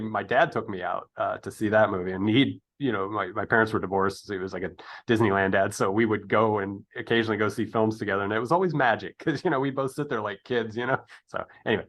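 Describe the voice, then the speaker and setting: A man's voice: on the low side, fairly bright tone. A man in his fifties hosting a casual interview, talking in a rambling, tangent-prone way. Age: 50s. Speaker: interview host.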